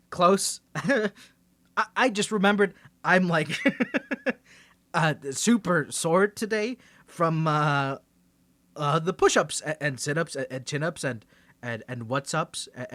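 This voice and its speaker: A man's voice: on the high side, fairly bright tone, no room sound. A man playing a nervous young guy hiding his superhero identity from his best friend in an ASMR roleplay, stammering through rambling excuses. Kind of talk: ASMR roleplay audio drama.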